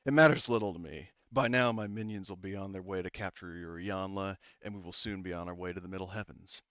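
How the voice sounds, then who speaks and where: A man voicing a smug, cruel psychic villain who speaks in a slow, low, gloating drawl. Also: fairly bright tone, clear, resonant tone, dry recording. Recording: dull dry lo-fi mic.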